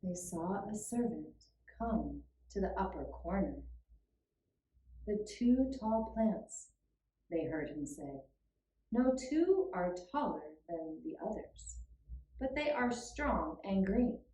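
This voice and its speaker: A woman narrating a scripted children's puppet-show tale in a measured, deliberate way with pauses. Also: medium pitch, big pitch swings, warm tone. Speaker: storyteller. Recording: roomy, echoing room sound.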